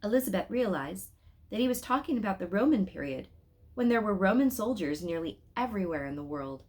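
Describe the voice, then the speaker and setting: A woman reading a children's story aloud expressively, pausing to speak directly to her listeners with questions and asides. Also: mid-range voice, lively intonation, darkish tone.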